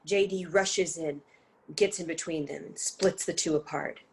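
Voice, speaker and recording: A woman's voice: lowish voice, steady tone, unhurried, a little hiss or hum. A woman reading scripted dramatic narration and stage directions for a play.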